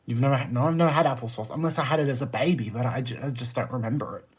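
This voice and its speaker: A man's voice: medium pitch, rapid-fire, breathy edge. A man with an Australian accent chatting casually on a livestream, answering viewer comments in a blunt, exasperated, emphatic way. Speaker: live streamer.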